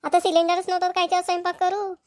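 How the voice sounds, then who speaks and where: Woman as high-voiced nagging village wife. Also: little pitch movement, racing, no room sound, clean studio sound. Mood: practical. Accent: Marathi, Vidarbha. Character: hard-working village wife and mother, nagging, practical. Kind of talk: cartoon comedy dialogue.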